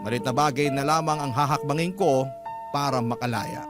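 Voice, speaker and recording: A man reading a letter as a radio drama host, in a sentimental first-person storytelling style with deliberate phrasing. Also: medium pitch. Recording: loud musical bed behind voice. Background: music.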